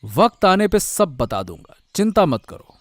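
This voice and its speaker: A man's voice: high voice, big pitch swings, measured pace, dry recording, full character voice. A man playing a righteous, protective village uncle in a Hindi cartoon story. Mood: reassuring.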